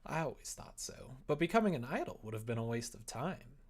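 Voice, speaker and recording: A man's voice: medium pitch, big pitch swings, fairly quick. Man acting visual novel young woman, bitter, guarded, blunt, reserved. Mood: resigned. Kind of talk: visual novel read aloud.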